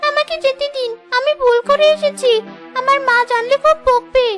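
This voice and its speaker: A woman's voice: extremely high pitch, steady tone, moderate pace, loud music behind the voice. A woman voicing a naive, childlike little fairy girl in a cartoon fairy tale. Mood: frightened pleading. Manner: childlike, innocent.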